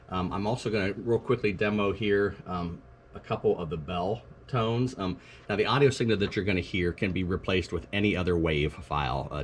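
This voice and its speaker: A man's voice: deep voice, slight background noise. A man giving an informal, matter-of-fact product demonstration, explaining as a staff presenter would. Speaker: school technology staff presenter.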